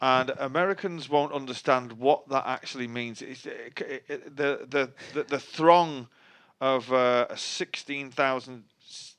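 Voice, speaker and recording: This is a middle-aged man with a Manchester accent, chatting as a guest in a dry, wry manner. He often stops and restarts mid-sentence, and his voice sits at an ordinary, middling pitch. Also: unhurried, hardly any pauses, fairly bright tone, clear, resonant tone. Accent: Mancunian. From Manchester, England. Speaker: guest musician. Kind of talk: casual interview chat.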